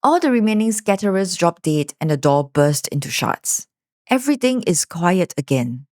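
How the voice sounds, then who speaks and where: A woman's voice: lowish voice, sing-song, normal speed, darkish tone, no room sound, studio quality. A woman narrating a scripted story recap as the storyteller.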